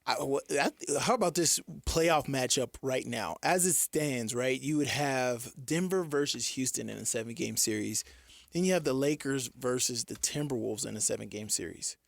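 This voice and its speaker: A man chats casually as a sports radio co-host. His mid-range voice has a noticeable creaky fry, and it is recorded dry in a studio. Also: mid-range voice, normal speed, dry recording.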